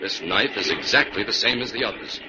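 Casting: man as calm, authoritative sleuth hero, measured delivery, old radio serial, dull hissy recording. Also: on the low side, lightly acted. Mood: calm, deliberate. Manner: firm, measured, commanding. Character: calm, authoritative male detective hero, level-headed. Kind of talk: old-time radio drama acting.

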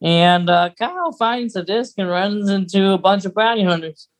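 A woman speaks as a podcast co-host in a medium-pitched voice. She recaps a story in a casual, conversational way, as if talking it through with a friend. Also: moderate pace.

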